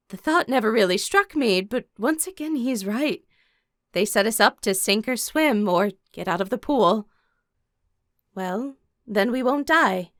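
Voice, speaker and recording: A woman's voice: a little high, fairly bright tone, no echo, studio quality. A woman playing a composed, caring teenage warrior girl, narrating an audio drama in the first person and speaking her dialogue. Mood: thoughtful, reassuring.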